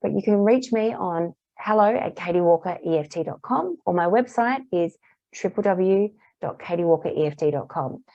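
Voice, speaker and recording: A woman practitioner calmly guiding a tapping exercise in repeated phrases, her voice a little hoarse. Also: on the low side, moderate pace, no echo. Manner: calm, repetitive guiding phrases. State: slightly hoarse, clearing throat. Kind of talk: guided tapping lesson. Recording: dull, muffled dry recording.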